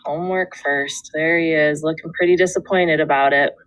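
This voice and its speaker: A woman teacher reading a children's book aloud, with expressive storytelling and deliberate phrasing. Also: sits low, no echo, low-quality recording. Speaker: second grade teacher.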